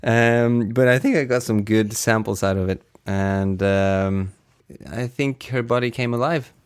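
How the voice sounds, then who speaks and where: A man working as a sound designer, chatting casually behind the scenes in a reflective, halting way as he searches for words. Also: mid-range voice, smooth.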